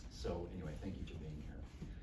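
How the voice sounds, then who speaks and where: A man in his fifties from Dallas, Texas, giving a campaign speech, telling his story in a relaxed way with lots of fillers and self-deprecating jokes. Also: a bass voice, a little fast. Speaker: political candidate. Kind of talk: campaign speech to a local group. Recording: echoey room, mic far off, noisy.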